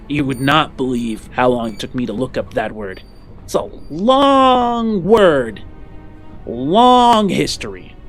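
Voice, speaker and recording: A man playing a chatty, skeptical local in a sci-fi fantasy roleplay, rambling and halting as he talks. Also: medium pitch, natural voice. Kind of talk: improvised in-character tabletop roleplay. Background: background music.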